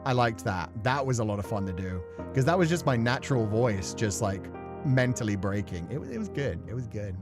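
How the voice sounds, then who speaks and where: A man's voice: medium pitch, lively intonation, brisk. A man livestreaming in a casual, rambling, thoughtful way, swearing now and then as he chats with viewers. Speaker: streamer and voice actor who plays visual novels. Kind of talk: casual livestream chat, reading and answering viewer comments. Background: music.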